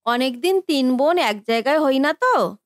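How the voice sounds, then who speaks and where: A high-voiced, touchy grown daughter acted by a woman in a family cartoon. Her delivery swings up and down a great deal, emphatic and complaining, as someone easily offended and quick to defend herself. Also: lively intonation, no room sound, clean studio sound. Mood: chatty. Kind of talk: Bengali family cartoon voice acting.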